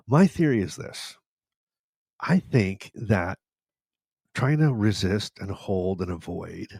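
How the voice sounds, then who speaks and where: An older man with a fairly low voice speaks into a close studio microphone in a dry, dead room. He rambles openly through a live Q&A, addressing his listeners directly. His voice has gone a little tired and raspy. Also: dry recording. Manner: rambling, warm-hearted, talks straight to viewers. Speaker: podcast host answering live questions. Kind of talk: live Q&A chat. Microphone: studio microphone with headphones.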